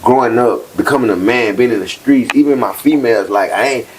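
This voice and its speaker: A man's voice: medium pitch, brisk, slight background noise. A man in his thirties from New Orleans, talking candidly and loosely as a guest in a casual interview. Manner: laid-back, candid, rambling with repeated "like". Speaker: guest rapper.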